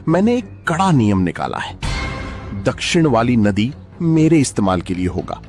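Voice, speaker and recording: A man's voice: mid-range voice, sing-song, moderate pace, over music, close to an everyday voice. A man voicing a selfish, pompous wolf king who makes demands in a cartoon fable. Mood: pompous, demanding. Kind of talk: animated children's fable acting.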